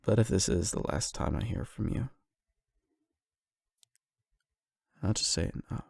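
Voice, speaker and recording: Man playing a low-voiced, nervous lovestruck young guy. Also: expressive, gravelly, darkish tone, breathy edge, dry recording, studio quality, heavily acted. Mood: resigned, tender. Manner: halting, awkward, self-doubting, with sighs and stammers. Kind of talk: intimate ASMR roleplay monologue.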